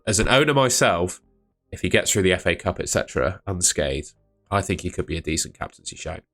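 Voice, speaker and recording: A man's voice: deep voice, fairly bright tone. A man with a cold, hosting a fantasy football video in a chatty, thinking-aloud way. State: has a cold. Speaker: fantasy football channel host. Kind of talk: casual solo video commentary.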